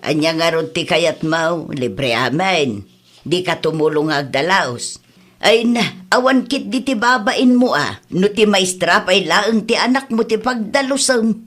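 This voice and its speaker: A woman's voice: fairly low pitch, expressive, unhurried, a bit bright. A woman playing an Ilocano-accented village mother in a comedy-drama, chatting about everyday matters. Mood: plain, chiding.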